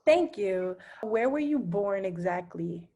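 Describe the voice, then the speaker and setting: A woman's voice: medium pitch, animated, slow, warm tone. A young woman hosting a podcast interview, introducing herself and asking short questions.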